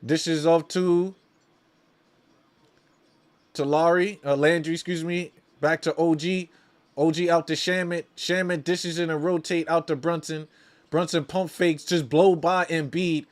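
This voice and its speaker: A man's voice: a little high, steady tone, measured pace. A man hosting a watch-along, calling basketball live as a partisan fan in slangy talk, often repeating phrases for emphasis.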